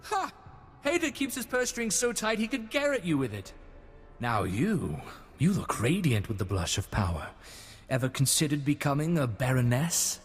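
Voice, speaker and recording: A man's voice: a little high, wildly swooping pitch, moderate pace, slightly rough, heavily acted. Swooping, roguish, lecherous nobleman. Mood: teasing. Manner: theatrical, teasing. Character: roguish, lecherous male noble, smug and flippant. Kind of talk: video game voice acting. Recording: long hall reverb.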